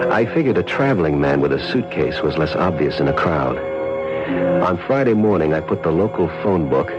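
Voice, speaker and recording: A man playing a hard-boiled schemer from a 1970s radio play, broke and savage-tempered. His voice sits low, and he talks in wry, clipped slang. The old broadcast is slightly muffled, with loud music underneath. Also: lightly acted. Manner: slangy, wry, clipped tough-guy talk. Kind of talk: 1970s radio drama acting, first-person narration and dialogue.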